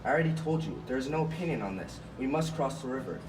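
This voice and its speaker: A man's voice: medium pitch, lively intonation, quick pace, music in the background, lightly acted. A man voicing a brave, hard-headed rabbit in an amateur puppet show, blunt and impatient. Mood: indignant, urgent. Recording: echoey, noisy room, far from mic.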